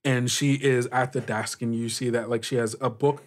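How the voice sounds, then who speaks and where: A man's voice: mid-range voice, fairly even intonation. A man narrating an improvised tabletop game as game master, feeling his way through descriptions with frequent "like". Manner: halting, searching descriptions with frequent "like".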